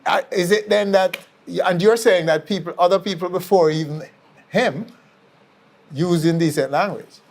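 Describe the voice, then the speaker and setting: A man with a Jamaican accent presenting and interviewing on an entertainment show in a deliberate, clarifying manner. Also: sits high.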